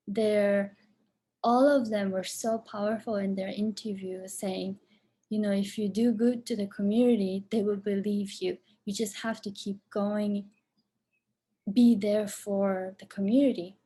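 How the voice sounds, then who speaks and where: A woman's voice: mid-range voice, warm tone, slightly rough. A woman who grew up in Japan, speaking English as a second language, answering questions about her film in a hesitant way with many restarts. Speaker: film director. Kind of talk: casual Q&A answers.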